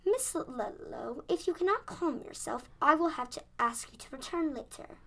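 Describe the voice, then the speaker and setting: High-voiced proper lady shopkeeper, acted by a schoolgirl, formal radio play, faint noise, composed. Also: expressive, moderate pace, sharp tone, full character voice. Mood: stern. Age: child of about ten. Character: poised, proper adult woman hairdresser and shop owner.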